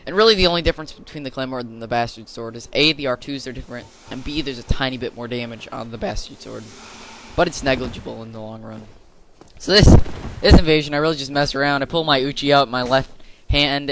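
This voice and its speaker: A young man with a slightly hoarse, mid-pitched voice talks casually over his gameplay footage. He rambles and restarts his sentences like a regular channel host. Steady background noise sits clearly under the recording. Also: a little fast. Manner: chatty, rambling, with fillers and restarts.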